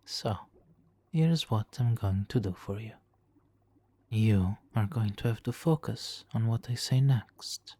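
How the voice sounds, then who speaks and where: A man playing a smug, seductive supervillain hypnotist in an ASMR roleplay, speaking softly and theatrically with lapses into whispers. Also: on the low side, expressive, slow. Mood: pleased, hypnotic.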